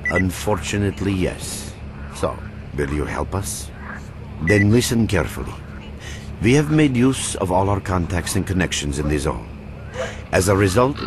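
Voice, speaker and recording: Grave faction leader with lively intonation. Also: male voice, sits low, noisy background. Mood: businesslike, urgent. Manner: earnest, measured, explanatory. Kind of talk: video game dialogue.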